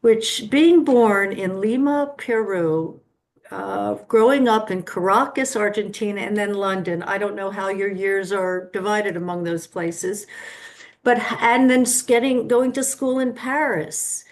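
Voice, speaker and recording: A woman interviewer asking a long question in a measured, admiring way over a video call. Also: medium pitch.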